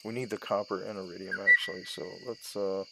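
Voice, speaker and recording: Very low, gravelly woman thinking aloud. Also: steady tone, fairly quick, heavy background noise. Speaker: gaming channel host. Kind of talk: casual let's-play commentary. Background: game music and sound effects.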